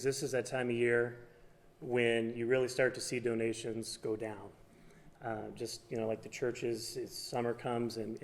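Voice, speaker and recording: A man from a food pantry giving an unplanned thank-you speech, halting and restarting as he goes. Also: medium pitch. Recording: reverberant hall.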